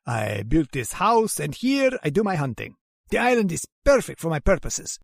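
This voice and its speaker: A genteel, sinister general speaks in a gravelly, fairly high voice with very lively swings of pitch. He is suave and courteous, savoring his menace in old-time radio horror style. The sound is close and dry. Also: normal speed, dry recording, full character voice. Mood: boastful, relishing. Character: genteel, sinister aristocratic general who hunts humans.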